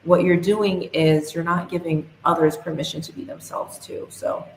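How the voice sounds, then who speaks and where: A young woman with a low, gravelly voice talks in steady, earnest phrases as an interview guest. Her voice carries the echo of a roomy space, with a faint hiss underneath. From United States. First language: English. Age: young adult. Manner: earnest and measured, speaks in steady reflective phrases. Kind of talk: podcast interview. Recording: echoey room with faint noise.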